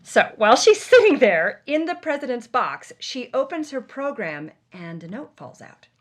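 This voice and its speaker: A woman telling a scripted story as a podcast storyteller, in an animated way. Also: sits high, normal speed.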